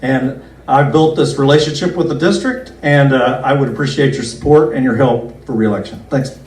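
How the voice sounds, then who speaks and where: A man who is a county commissioner giving a folksy, earnest campaign speech at a picnic, slowing down to stress his points. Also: medium pitch, a little mellow. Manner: folksy, earnest, with slow emphatic stretches. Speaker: county commissioner running for reelection. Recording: roomy, distant PA-style recording.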